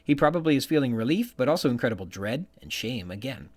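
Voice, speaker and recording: A man's voice: mid-range voice, sing-song, fast, darkish tone. A man hosting a podcast, giving bookish literary commentary from prepared notes and quoting passages as he goes. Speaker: podcast host.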